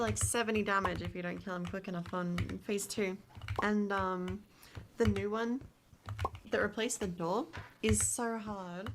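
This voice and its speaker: Aussie woman streamer rambling about games, lots of laughs and ums, hiss under the mic. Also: medium pitch. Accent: Australian. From Australia. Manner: laughs often, relaxed rambling with fillers. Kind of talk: casual chat.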